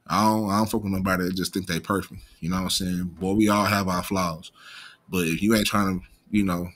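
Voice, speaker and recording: Bright-voiced American man with slight stutter. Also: sits low, fairly even intonation, a little fast. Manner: imperfect pronunciation of some words; informal, slangy, profane. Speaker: online commentary host. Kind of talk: unscripted casual commentary.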